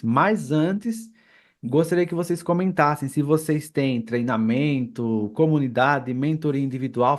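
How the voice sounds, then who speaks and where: A Brazilian man hosting an interview podcast in a casual, conversational style. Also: mid-range voice. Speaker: podcast host.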